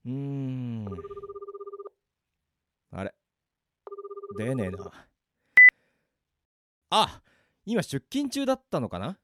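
A man voicing a bewildered young office worker who finds no one can see him, talking in rough casual speech, in an amateur radio drama. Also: medium pitch, wildly swooping pitch, extremely slow, long pauses, dry recording, exaggerated voice. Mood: muttering.

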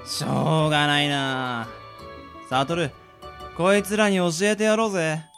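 Mischievous high-voiced young man acted by a man. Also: fairly even intonation, unhurried, a bit bright, soft background music. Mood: teasing, confident. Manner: teasing, nonchalant. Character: mischievous, breezy young man plotting pranks, Shun. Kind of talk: amateur radio drama acting.

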